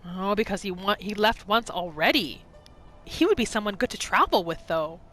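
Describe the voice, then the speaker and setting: Female gaming host: bright voice, musing aloud in role-play commentary, very varied intonation. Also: medium pitch, normal speed, faint music behind. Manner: musing aloud, expressive, questioning. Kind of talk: role-play game commentary, narrating her character's thoughts.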